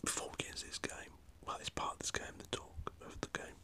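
A man's voice: fast, sharp tone. Whispering northern English man, chatty ASMR. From north of England. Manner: rambling, chatty, swears mildly. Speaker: ASMR channel host. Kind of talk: whispered ASMR football chat. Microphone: camera set up in front of him.

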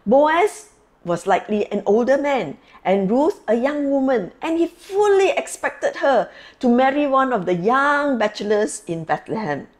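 Female pastor, middle-aged, higher-pitched voice, lively swooping delivery, deliberate emphatic preaching. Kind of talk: sermon. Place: small quiet office, indoors. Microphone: lapel mic.

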